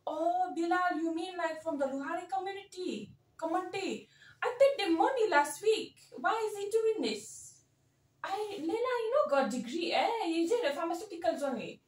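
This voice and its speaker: A woman putting on a bossy, gossiping auntie who rules over her community, speaking in high-pitched Indian English with clipped, broken grammar and quick scolding asides. The voice sits well back from the mic in a roomy, echoing space. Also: animated. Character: bossy gossiping middle-aged auntie, self-declared community elder. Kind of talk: comic character monologue. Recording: roomy sound from a distant mic.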